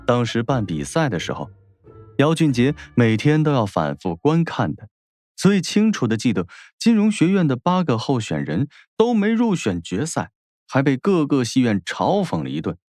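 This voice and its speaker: A man's voice: mid-range voice, very animated, moderate pace, darkish tone, dry recording, clean studio sound, soft background music. A man reading a novel as a dramatic scripted narration. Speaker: audiobook narrator.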